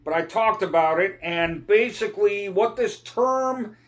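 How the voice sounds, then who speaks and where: Middle-aged male guitar tutor, slow and meandering delivery, clears his throat between points. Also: high-pitched, lively intonation. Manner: rambling, digressive, clears throat often. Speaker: guitar teacher. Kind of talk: casual guitar lesson talk.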